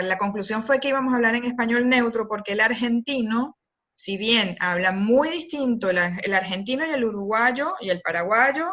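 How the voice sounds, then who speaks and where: A woman with a Venezuelan accent speaks with a bright, clear edge. She answers interview questions in a thoughtful, meandering way, restarting sentences and filling pauses. Her pitch sits at a middling level. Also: lo-fi sound. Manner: thoughtful, rambling with many fillers and restarts. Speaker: guest, copywriter. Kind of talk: conversational interview.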